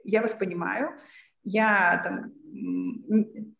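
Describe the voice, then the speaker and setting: A woman's voice: mid-range voice, fairly even intonation, takes it slowly, vocal fry, a bit bright. A woman in her thirties giving an online lecture as a trainer, thoughtful and hesitant, with many fillers and restarts. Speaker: self-defense and assertiveness trainer. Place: small room indoors. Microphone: laptop webcam on a video call. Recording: echoey room, dull lo-fi sound.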